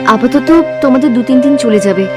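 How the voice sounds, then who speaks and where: A woman's voice: high-pitched, a little fast, loud music behind the voice, natural voice. A woman as a caring village wife. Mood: consoling. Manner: warm, consoling.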